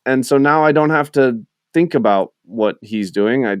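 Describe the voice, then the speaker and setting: A man, a music producer guest on a podcast, talking casually in a relaxed, rambling way with many filler words. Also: mid-range voice, moderate pace, smooth, no room sound, clean studio sound. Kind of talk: casual chat.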